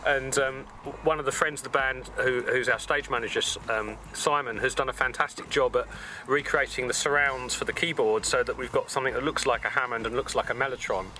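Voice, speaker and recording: A man, a tribute band founder giving detailed, steady explanations in a casual interview outside a pub. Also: medium pitch, fairly quick. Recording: outdoor street noise with passing traffic.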